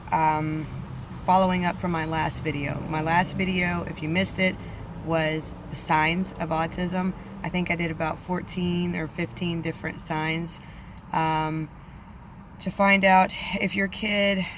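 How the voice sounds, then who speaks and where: A woman's voice: on the low side, a little hiss or hum. A woman giving casual parenting advice on camera, her voice slightly off from allergies, with many ums. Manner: chatty, many ums, flat delivery. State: voice a bit off from allergies. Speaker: vlogger, parent.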